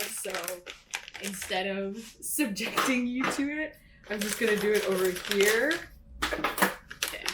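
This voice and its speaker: Young woman, casual cooking chat, chatty, quick laughs, mid-pitched voice. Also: very noisy. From Canada. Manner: chatty, laughs often, many fillers. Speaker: author and vlogger.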